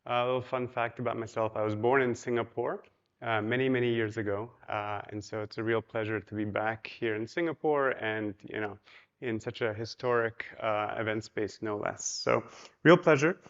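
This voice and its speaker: A man's voice: lowish voice, steady tone, normal speed, lo-fi sound. A man from Poland giving a casual, chatty conference talk, with many ums and you-knows, and questions put to the audience. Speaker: conference speaker. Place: large hotel ballroom.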